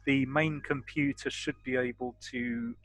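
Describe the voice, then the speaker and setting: A man's voice: mid-range voice, steady tone, a little slow, fairly bright tone, no echo, faint music behind. A man talking casually as a player in a tabletop roleplaying game.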